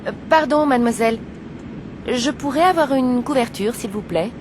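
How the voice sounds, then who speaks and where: A woman's voice: a little high, animated, moderate pace, a little hiss or hum, close to an everyday voice. A woman acting in scripted language-course dialogue as a courteous, polite businesswoman. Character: courteous businesswoman and airline passenger.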